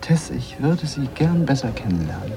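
Worldly opinionated guy, deliberate phrasing, mid voice, old radio drama. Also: medium pitch, very animated, over music. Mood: flirtatious. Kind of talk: 1980s German radio drama acting.

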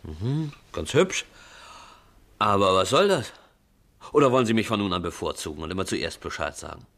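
Nonchalant reporter, deep voice, wry and flippant; vintage radio crime play. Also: male voice, sing-song, normal speed, fairly bright tone, heavily acted. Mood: bored. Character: flippant, easily bored male newspaper reporter. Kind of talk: 1950s German radio crime drama acting.

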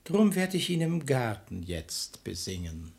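Casting: sanctimonious fraud, slow drawn-out verse, pompous, swooping intonation. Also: male voice, mid-range voice, big pitch swings, vocal fry, darkish tone. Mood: pompously pious. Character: sanctimonious, unctuous hypocrite posing as pious holy man. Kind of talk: classic verse comedy radio play acting.

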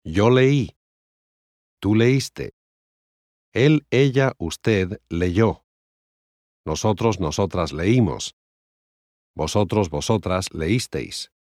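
A man with a lowish voice recites language course drills very slowly. He enunciates each phrase carefully and separately, in a dry, close studio sound. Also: lively intonation, darkish tone, no room sound. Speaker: language course voice reading Spanish examples. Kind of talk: scripted language lesson drill, reciting conjugations.

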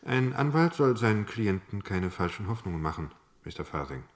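An actor playing a sober, pragmatic male defence lawyer in a German crime radio play, measured, frank and probing. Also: on the low side, very animated. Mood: dry, frank. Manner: measured, matter-of-fact, questioning.

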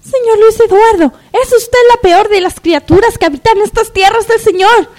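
A woman plays a wronged young working woman in a spoof radio soap. She is indignant and melodramatic, with a very high-pitched voice. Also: normal speed, a little mellow. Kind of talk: parody radio soap opera acting.

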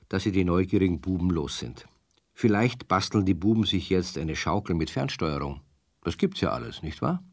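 A man narrating a children's radio play as a friendly, wry storyteller who chats directly to young listeners. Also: deep voice.

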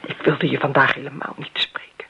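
A woman playing a bitter, reproachful grown daughter in a radio drama, with a husky voice. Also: very deep voice, level tone, brisk, whispered, full character voice. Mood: bitter, accusing. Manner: reproachful, scolding. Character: bitter, accusing grown daughter of a dead mother. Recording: dull, lo-fi radio recording.

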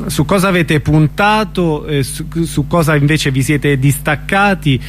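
A man's voice: a little high, a little slow, faint music behind. A man interviewing a guest on radio in a conversational way, listening attentively with short affirmations.

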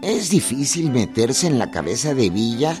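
A man delivers deliberate, theatrical scripted narration for a radio drama. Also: mid-range voice, very animated. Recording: prominent music and effects behind.

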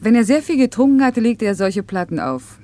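A woman's voice: on the high side, big pitch swings, fairly quick, warm tone, a little hiss or hum. An actress in a German radio play playing a working mother, restrained, plain and thoughtful in manner. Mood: pensive. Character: reserved, quietly dignified working mother.

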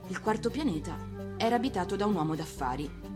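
A woman reading a children's tale as an actor, in expressive scripted storybook narration. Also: mid-range voice, quick pace. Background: music.

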